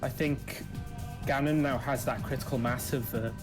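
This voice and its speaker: A man from Wales with a light Welsh accent, co-commentating a strategy game match in a relaxed, halting way with many fillers. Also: medium pitch, music in the background. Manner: easygoing, chuckling, halting with fillers. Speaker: esports co-caster.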